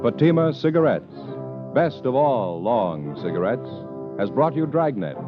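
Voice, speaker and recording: A man's voice: mid-range voice, very animated, a little slow. A man making emphatic, scripted 1950s radio sponsor announcements. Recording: muffled old radio, loud brass music.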